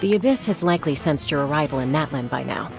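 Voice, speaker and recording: A woman's voice: deep voice, expressive, fast, gravelly. A woman voicing a serious, protective adult warrior in a video game, speaking in a warning tone. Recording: game audio with music behind. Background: music.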